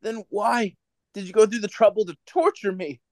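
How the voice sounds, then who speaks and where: A woman playing an anguished, fearful prisoner under torture in a radio drama, with halting, pleading, broken delivery. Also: mid-range voice, mellow, no echo, lightly acted. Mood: puzzled, halting. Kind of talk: theatrical radio drama acting.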